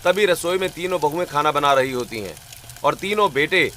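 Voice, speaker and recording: A man narrating a scripted animated family story as a storyteller. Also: fairly high pitch, fairly quick, noisy background. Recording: loud rain ambience behind voice.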